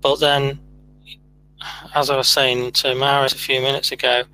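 A man hosting a podcast, speaking in a measured, earnest way with many fillers. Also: medium pitch, little pitch movement, pushed and bright, a little hiss or hum, low-quality recording. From United Kingdom. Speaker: podcast host. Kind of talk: casual chat.

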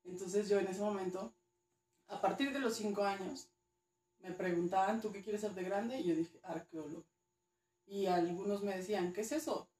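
A woman's voice: mid-range voice, fairly even intonation, moderate pace, a little mellow, roomy sound, distant mic, a little hiss or hum. A woman with a Mexican accent, a guest archaeologist chatting casually, hesitant and repeating words now and then. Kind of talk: casual interview chat.

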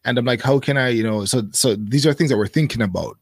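A man in his thirties, a guest in a casual interview, speaking thoughtfully with many pauses and fillers. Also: medium pitch, slightly rough, no room sound, studio quality.

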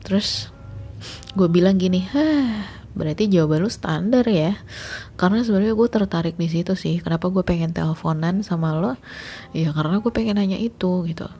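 A woman's voice: on the low side, moderate pace, warm tone, husky. A woman, telling a story in a rambling, chatty way full of fillers. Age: late 20s. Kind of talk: casual storytelling monologue.

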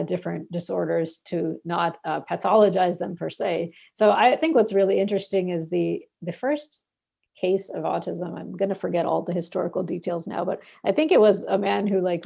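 Woman from bangladesh, husky dark voice, rambly answers with lots of um and like. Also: fairly low pitch. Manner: rambling, many fillers and restarts. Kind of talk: casual interview answers.

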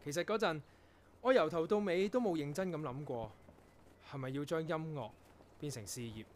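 A man acting in a Cantonese radio drama as an earnest, proud young composer, telling things in a reflective, measured way. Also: on the high side, slight background noise, close to an everyday voice. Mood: reflective, subdued. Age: young adult.

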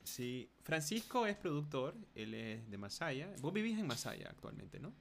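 A man's voice: mid-range voice, big pitch swings, normal speed, creaky. A man with a Nicaraguan accent hosting a podcast, asking questions and chatting casually, with noise around his voice. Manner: frequent backchannel affirmations. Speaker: podcast host. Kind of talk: casual interview chat.

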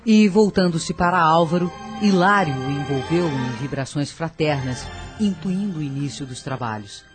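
A woman's voice: low voice, lively intonation, moderate pace, faint music behind. A woman narrating a scripted radio drama in a solemn, formal storytelling manner.

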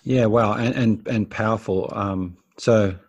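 A man's voice: fairly low pitch, fairly even intonation, a little slow, slightly rough. A man hosting a podcast interview, encouraging and lightly joking with his guest. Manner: encouraging, joking, frequent affirmations. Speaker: podcast host. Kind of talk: casual interview chat.

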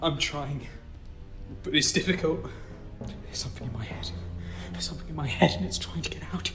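A man's voice: on the high side, normal speed, over music, close to an everyday voice. A young man playing a frightened ensign who is turning into a machine and whose speech goes stiff and formal. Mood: terrified. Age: young adult. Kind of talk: audio drama acting.

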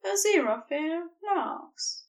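A woman reading a tender romantic story as scripted narration, in a gentle, literary manner. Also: very high voice, lively intonation, slow, distant mic. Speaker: fan fiction audiobook reader.

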